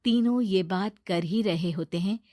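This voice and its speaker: A woman's voice: mid-range voice, unhurried, mellow, no room sound, studio quality. A woman narrating a scripted children's story.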